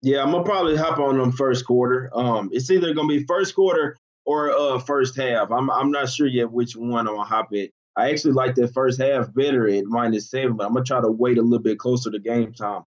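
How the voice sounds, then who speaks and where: A man with a husky, rough voice talks through sports bets in a relaxed, hesitant way, often trailing into fillers. The sound is muffled and lo-fi. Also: medium pitch, brisk, hardly any pauses. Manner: laid-back, hesitant, full of fillers. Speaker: sports betting co-host. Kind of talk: casual sports betting chat.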